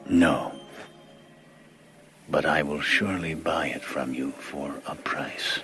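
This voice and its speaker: Casting: resolute biblical king, extremely low voice, slow and grave, faint music underneath. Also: fairly even intonation, full character voice. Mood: solemn, resolute. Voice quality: very deep voice. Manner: slow, deliberate delivery. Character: solemn, resolute ancient Israelite king. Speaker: actor in a dramatized audio Bible. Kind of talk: dramatized scripture reading.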